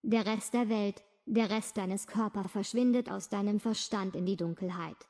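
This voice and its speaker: Woman, hypnosis guide, soothing, repetitive, mellow tone, long reverb. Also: medium pitch, fairly even intonation, moderate pace.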